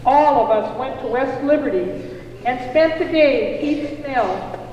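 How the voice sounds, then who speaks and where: A woman playing a folksy storyteller of the 1850s, deliberately narrating a puppet show to an audience. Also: high voice, lively intonation, highs cut off, a little hiss or hum. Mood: recounting. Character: woman storyteller recalling 1850s events she lived through. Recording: echoey hall from far off, music.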